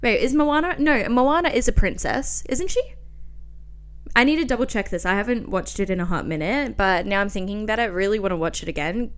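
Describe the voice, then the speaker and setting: A young woman with a clean, smooth voice talks as a podcast host. Her delivery is informal and chatty, full of slangy asides and filler "likes". She stresses her points expressively, as if talking to friends. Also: mid-range voice, lively intonation, fairly quick. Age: 20s. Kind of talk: casual solo podcast chat.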